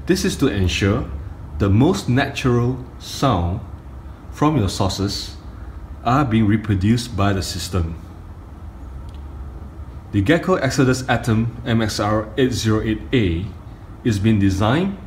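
A middle-aged man giving a step-by-step tutorial on audio gear, speaking deliberately in short phrases with pauses. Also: fairly low pitch, expressive, a little mellow. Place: indoor carpeted room. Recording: faint room noise, phone camera audio.

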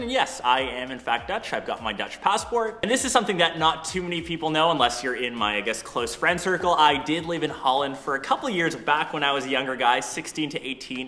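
A man's voice: on the high side, pushed and bright. A young man hosting a casual vlog, giving a chatty, upbeat tour and answering viewer questions. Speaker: YouTuber. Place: empty condo studio, large bare room. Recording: echoey empty room.

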